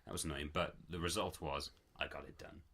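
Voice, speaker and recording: Bloke on a podcast, low deep voice but bright, chatty and rambly. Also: male voice, steady tone, a little fast, vocal fry, slightly rough. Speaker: podcast co-host. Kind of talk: casual chat.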